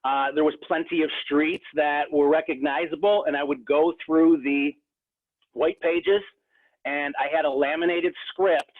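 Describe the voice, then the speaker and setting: Earnest man on a tinny phone line. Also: fairly high pitch. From Rochester, New York area. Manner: earnest, frequent restarts and fillers. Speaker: real estate agent. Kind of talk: casual interview talk.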